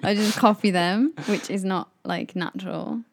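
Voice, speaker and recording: Gravelly-voiced British young woman chatting. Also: mid-range voice, fairly even intonation, moderate pace. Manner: chatty, laughs often, playful exaggeration. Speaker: podcast co-host. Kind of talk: casual chat.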